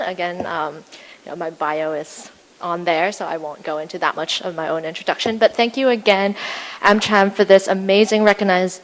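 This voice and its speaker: A young woman from Hong Kong giving an earnest, measured award acceptance speech at a podium microphone, slightly formal with small fillers. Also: medium pitch, rough recording. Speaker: award recipient. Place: event hall.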